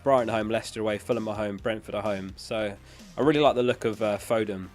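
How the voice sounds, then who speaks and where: A man's voice: on the low side, fast, a little mellow, faint music behind. A man chatting casually as a livestream host, reading out and answering viewer questions in a matter-of-fact way, his voice starting to give out from being unwell. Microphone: close dynamic studio microphone.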